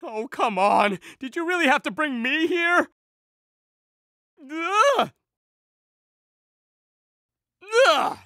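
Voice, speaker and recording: A young male cartoon villain who whines and pleads theatrically. The voice is high and bright, very animated, and recorded dry and close. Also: slow, no echo, exaggerated voice. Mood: whiny. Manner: melodramatic, whiny pleading. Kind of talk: animated series acting.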